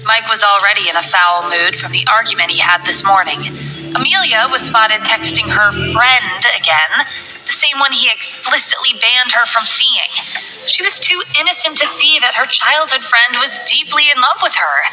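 A woman reading a novel aloud in steady scripted narration. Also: on the high side, moderate pace, music in the background, poor audio.

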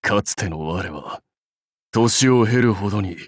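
Proud, sweeping-voiced samurai warlord. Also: male voice, fairly low pitch, expressive, a little slow, dry recording, studio quality. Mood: solemn pride. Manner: grand, archaic, declamatory warrior speech. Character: proud, battle-hungry samurai warlord, commanding and loyal. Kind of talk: anime game voice lines.

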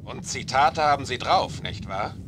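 A man's voice: mid-range voice, expressive, unhurried, lightly acted. A man voicing a dry, sardonic soldier. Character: gruff male soldier, dry and wry. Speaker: covert operative. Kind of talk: German game dub. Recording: game audio with background noise.

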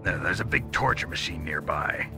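A man playing a gruff, stoic captured soldier in a video game, terse and deadpan with a gravelly voice. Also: sits low, normal speed, over music. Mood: urgent. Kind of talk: dramatic video game acting over radio calls.

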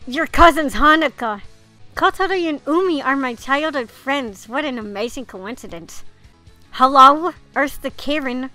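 Female gamer, high bright voice, informal and reactive, reading game dialogue over faint music. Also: a little slow, no room sound, poor audio. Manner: reactive, informal, mild swearing. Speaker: gamer reading a visual novel aloud. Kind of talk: casual playthrough with read-aloud dialogue and reactions.

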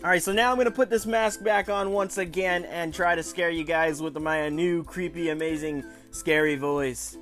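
A man in his twenties with a noticeably high voice presents a toy review to camera. He talks casually and enthusiastically, like a chatty online show host, with soft music underneath. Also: steady tone, normal speed, hardly any pauses. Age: 20s. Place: small room at home.

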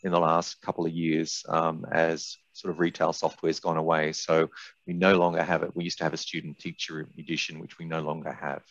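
A man's voice: very deep voice, monotone, brisk, fairly bright tone, no echo. An older man answering questions informally as a product expert on a webinar through a headset. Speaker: software company expert guest. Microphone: headset on video call. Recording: dull dry video-call audio.

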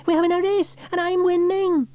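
An excitable youngster from a children's storybook, acted out by a man, speaks in a very high, squeaky falsetto. The tone is soft-edged, and the delivery is eager and full of exclamation. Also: fairly quick. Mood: boisterous. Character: excitable young children, boy and girl twins and friends.

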